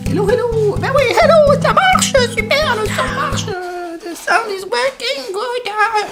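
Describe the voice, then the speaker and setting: Shrill, squeaky, bright voice, jokey and giggly, slow delivery, faint music behind. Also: male voice, exaggerated voice. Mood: playful. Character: squeaky high-pitched man, playful.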